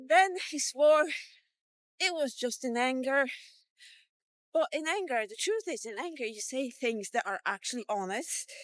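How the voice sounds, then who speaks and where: A woman in her fifties telling a personal story as a fairy tale, informally and bluntly, in short halting phrases. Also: high voice, no echo. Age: 50s. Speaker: vlogger. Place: recorded while walking.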